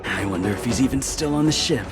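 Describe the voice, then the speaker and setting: A man's voice: medium pitch, lively intonation, fast talker, lightly acted. A man playing a stern, skeptical knight captain in an English video game dub, formal and questioning. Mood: surprised, dutiful. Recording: game music behind.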